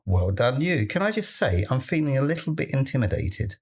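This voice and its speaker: A man's voice: mid-range voice, big pitch swings, fairly quick, a little mellow, breathy edge. A man co-hosting a podcast with casual, dry, teasing banter. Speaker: podcast co-host.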